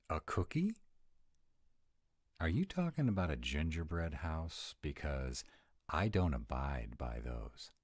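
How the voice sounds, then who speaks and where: Grumpy tsundere were-dragon played by a man, roleplay audio. Bass-deep with lively swings, fussy about rules, dry close sound. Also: dry recording, heavily acted. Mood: indignant. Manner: fussy, exasperated, drawn-out emphatic phrasing. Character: grumpy, rule-bound male were-dragon, exasperated tsundere boyfriend.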